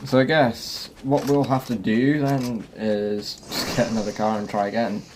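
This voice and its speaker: A man's voice: medium pitch, flat delivery, normal speed. A man hosting a gaming video with casual gameplay commentary. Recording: voice-over with music and game vehicle sounds. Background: clear music and game engine noise.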